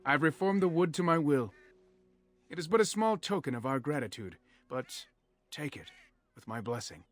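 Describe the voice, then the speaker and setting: A man's voice: fairly high pitch, big pitch swings, normal speed, soft background music. An actor playing a proud, courteous elf master craftsman in a video game, speaking formally and earnestly. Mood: grateful. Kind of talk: video game character acting.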